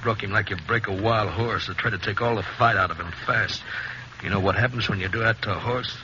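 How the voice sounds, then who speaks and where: An actor playing a middle-aged frontier sheriff and guilt-ridden father in a 1950s radio western, gruff and plain-spoken. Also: lowish voice, fairly even intonation, fairly quick, sharp tone. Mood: anguished. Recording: muffled, noisy 1950s radio recording.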